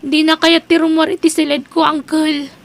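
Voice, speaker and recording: Woman acting as protective young niece, very high voice, firm, Ilocano accent, radio drama. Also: little pitch movement, normal speed, creaky. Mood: worried refusal.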